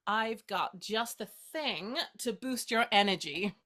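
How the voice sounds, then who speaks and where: A woman's voice: medium pitch, expressive, takes it slowly, a bit bright. A woman acting in a naturalistic drama as a playful, caring best friend with a light, teasing, encouraging manner. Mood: cheerful.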